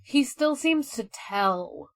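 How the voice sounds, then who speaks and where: A woman's voice: high voice, expressive, slow, no echo. A woman co-hosting a podcast, chatting casually with loose, colloquial phrasing. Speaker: podcast co-host.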